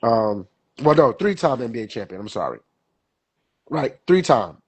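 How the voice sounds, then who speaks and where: A young man co-hosting a sports podcast, his voice stuffy and husky from a cold. He rambles along in loose, casual talk, repeating words and leaning on fillers. The sound is dry and close, with no room around it. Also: mid-range voice, sing-song, moderate pace, dry recording. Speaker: podcast co-host.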